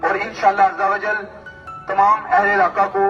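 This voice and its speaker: Distant muffled loudspeaker, long reverb, male mosque announcer, formal, measured short phrases. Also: high-pitched, flat delivery. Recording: muffled, echoing mosque loudspeaker from afar, music.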